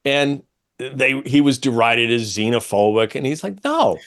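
A man's voice: medium pitch, expressive, measured pace, fairly bright tone. A middle-aged American man talking as an opinionated radio host, explaining things in an emphatic, pedantic way. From United States.

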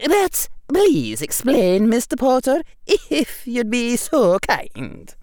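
A man voicing a strict witch professor, a woman with a precise, measured Scottish accent, in an audiobook story. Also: extremely high pitch, wildly swooping pitch, darkish tone, no echo, clean studio sound, voice pushed up, heavily acted. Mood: prim, curious.

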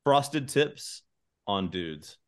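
A man's voice: medium pitch, expressive, drawn-out words, smooth. A man hosting a podcast in a jokey, informal, conversational way. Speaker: podcast host. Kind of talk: casual comic chat.

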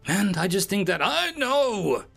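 A man's voice: high voice, sing-song, moderate pace, vocal fry, a bit bright, soft background music, voice pushed up, exaggerated voice. A man voicing a self-assured, airy and curt alien senior observer in a science fiction story. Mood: indignant. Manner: firm, dismissive.

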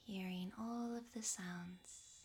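A woman guiding a relaxation meditation in a soft, breathy, half-whispered voice, gently and with long pauses. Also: medium pitch, steady tone, slight background noise. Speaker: yoga nidra meditation guide.

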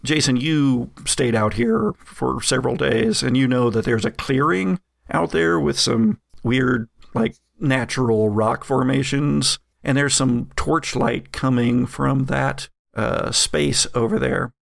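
A man's voice: medium pitch, slow pace, gravelly. A man narrating as game master in a casual tabletop game, with many fillers and laughs.

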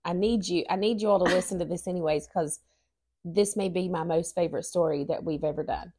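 A woman's voice: on the low side, fairly quick, dark tone, breathy edge. A woman, co-hosting a podcast in earnest, rambling casual chat. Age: 40s. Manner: earnest, rambling, stops and restarts. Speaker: podcast co-host.

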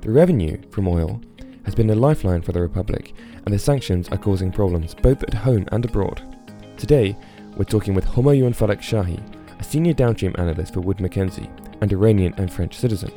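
A man hosting a podcast, reading a scripted introduction in a smooth, read-out delivery. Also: on the low side, fairly quick, few pauses, warm tone. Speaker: podcast host. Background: faint music.

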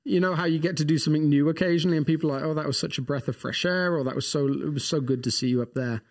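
A man with a fairly high voice co-hosts a relaxed faith podcast. He is wry and self-deprecating, and he rambles through side remarks. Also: very fast. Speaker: podcast co-host. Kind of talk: casual chat.

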